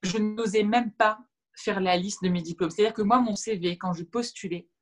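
A woman's voice: mid-range voice, fairly even intonation, normal speed, faint music behind. A woman in her thirties whose first language is Arabic, a guest on a video-call interview, speaking reflectively with many fillers and restarts. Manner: gestures while talking. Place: room at home with shelves. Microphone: video call webcam.

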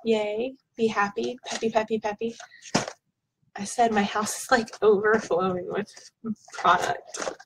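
A woman in her thirties, slightly hoarse from being sick, talks in a loose, rambling way with lots of ums. She sits at a desk in a small home office and speaks into a webcam, and a faint hiss runs under the recording. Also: mid-range voice, steady tone, a little slow, long pauses. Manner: chatty, rambling, with frequent ums. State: hoarse from illness. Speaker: product vlogger. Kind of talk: casual product unboxing chat.

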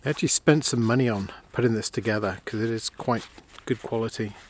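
Male outdoor vlogger, mid-pitched, relaxed and chatty, filming himself in a winter tent. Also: brisk, background noise. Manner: relaxed, chatty, thinks aloud with fillers. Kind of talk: casual gear review and camping vlog. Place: inside a canvas hot tent in winter.

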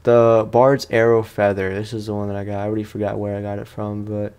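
A man's voice: fairly low pitch, little pitch movement, fast, darkish tone, clear, resonant tone. A man doing casual let's play commentary, talking in an informal, slangy way and often repeating his phrases. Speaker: gaming streamer.

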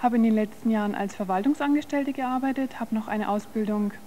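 A woman's voice: fairly high pitch, fairly quick, warm tone, a little hiss or hum. A woman contestant answering a host's questions eagerly on a TV studio stage. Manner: eager, effusive. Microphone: host's handheld microphone.

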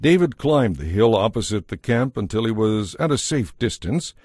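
Low-voiced man narrating scripture. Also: very animated, normal speed, a little mellow, no room sound, clean studio sound.

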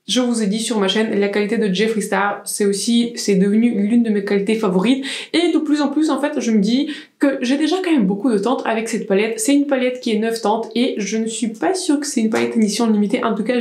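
A woman's voice: mid-range voice, darkish tone. Chatty young woman in echoey room. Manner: chatty, many restarts and fillers. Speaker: beauty vlogger. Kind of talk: casual product review. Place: small indoor room with plants.

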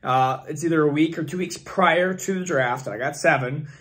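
A young man with a hoarse, fading voice hosts his channel, rambling and thinking aloud with many fillers as he talks through his picks. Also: fairly high pitch, moderate pace, fairly bright tone. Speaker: fantasy football channel host. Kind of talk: casual screen-share commentary.

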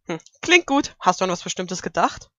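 A woman voicing a bossy, sharp-tongued cartoon pony princess in a fan audio drama, with dry, teasing sarcasm. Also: on the low side, sing-song, fairly quick, no room sound. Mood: skeptical.

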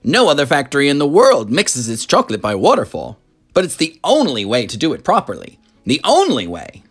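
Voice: medium pitch, huge pitch swings, a little fast, husky, soft background music, heavily acted. A narrator voicing a flamboyant, boastful man who owns a storybook factory, theatrical and full of exclamations. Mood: delighted, boastful.

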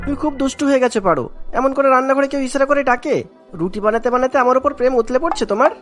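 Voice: high-pitched, very fast, over music, close to an everyday voice. A performer voicing a mild, fretful young husband in a Bengali cartoon. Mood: teasing.

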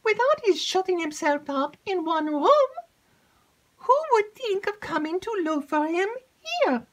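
A giddy young servant girl, put on by a woman reader, spills her news in a high, squealing rush. Her voice leaps and swoops with every exclamation, and she can barely keep still for breath. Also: very animated, unhurried, heavily acted. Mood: excited. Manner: breathless, gushing, high-pitched. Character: excitable chattering young female servant.

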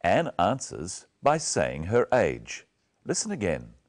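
A man's voice: low voice, wildly swooping pitch, takes it slowly. A man presenting a scripted English lesson, instructing learners in carefully enunciated phrases.

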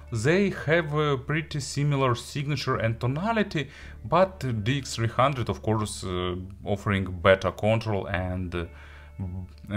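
Man, non-native English speaker, fairly low voice, hesitant unscripted review, frequent pauses, music behind. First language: not English. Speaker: audio gear reviewer.

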